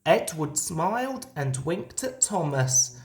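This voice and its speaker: Slow, animated woman reading a storybook. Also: low voice. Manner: expressive read-aloud delivery. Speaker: storybook reader. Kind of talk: scripted children's story narration.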